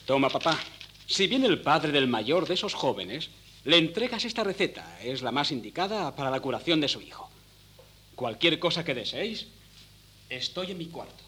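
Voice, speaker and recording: An actor playing a pedantic, bookish teenage son in 1970s radio theatre, speaking in formal, precise diction. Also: male voice, a little high, sing-song, moderate pace, sharp tone, a little hiss or hum. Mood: pedantic, composed.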